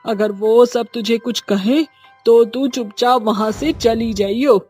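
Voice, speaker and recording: A woman voicing a scheming, bossy mother-in-law who gives curt orders in a husky voice. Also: a little high, fairly even intonation, slow, a little mellow, faint music behind. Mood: commanding. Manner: commanding, curt.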